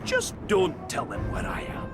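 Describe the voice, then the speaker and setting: A man's voice: mid-range voice, wildly swooping pitch, unhurried. A man voicing a wisecracking male dwarf tinkerer in a video game cutscene, talking in a teasing, offhand way. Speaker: inventor who helps the hero. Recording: cutscene with music behind.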